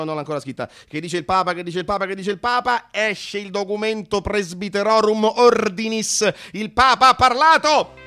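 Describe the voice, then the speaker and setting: A man performing a theatrical radio monologue, conversational and halting, repeating phrases and swinging from hushed to excited. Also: sits high. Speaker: solo narrator-actor.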